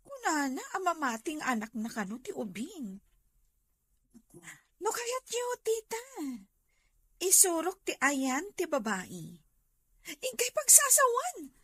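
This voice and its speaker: Woman acting very high-pitched scheming Ilocano rival. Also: fairly bright tone, no echo, heavily acted. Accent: Ilocano Filipino. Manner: insinuating, coaxing. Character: scheming, ingratiating young woman, a romantic rival. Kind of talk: radio-style comedy drama acting.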